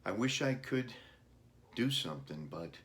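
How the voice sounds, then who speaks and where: A man voicing a gentle, apologetic mother in a children's storybook, soothing and regretful. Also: low voice, very animated, unhurried, heavily acted.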